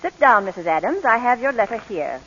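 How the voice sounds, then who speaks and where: An actress playing a brisk, officious orphanage official in a 1940s radio drama. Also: female voice, a little high, sing-song, fairly bright tone, soft background music. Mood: businesslike. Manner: crisp, officious. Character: brisk, exacting female orphanage official, businesslike. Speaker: adoption agency official. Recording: muffled, reverberant old radio broadcast.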